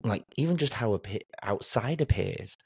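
Low, husky middle-aged male podcast host. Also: animated, moderate pace, a little mellow, no room sound. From outside Australia. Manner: empathetic, affirming. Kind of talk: casual interview chat. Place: home room with shelves, indoors. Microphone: close desk podcast mic, headset.

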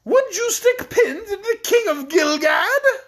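A woman's voice: extremely high pitch, very animated, moderate pace, a little mellow, pitched above the performer's own voice. A woman voicing a plump, cowardly but jolly king from a children's storybook, exclaiming theatrically. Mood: horrified. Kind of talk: children's storybook read aloud.

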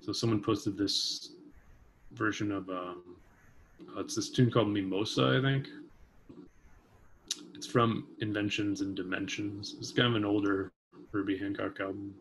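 A man's voice: on the low side, steady tone, unhurried, long pauses, slight background noise, low-quality recording. A man in his thirties, a musician guest, talking thoughtfully from his kitchen over a video call, hedging with many fillers. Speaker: vibraphonist and composer guest. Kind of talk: casual conversation. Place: home kitchen. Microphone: video call webcam.

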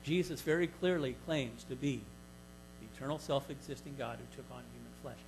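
A man in his fifties preaching a sermon in a church hall, teaching earnestly and getting louder when he reads scripture. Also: medium pitch, fairly quick, background noise. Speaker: pastor. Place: church hall stage, indoors.

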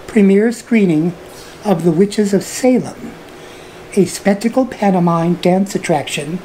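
An older man introducing an online screening as host, speaking to camera in a measured, deliberate way. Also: sits high, mellow, low-quality recording. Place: small room at home. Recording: clear background noise.

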